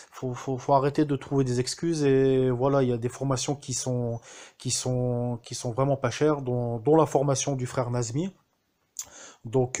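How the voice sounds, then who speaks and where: Un homme français qui témoigne de manière spontanée, en hésitant souvent et en reprenant ses phrases. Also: male voice, medium pitch, a little mellow. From France. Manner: hesitant, many fillers and restarts. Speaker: student giving a testimonial. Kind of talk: casual spoken testimonial.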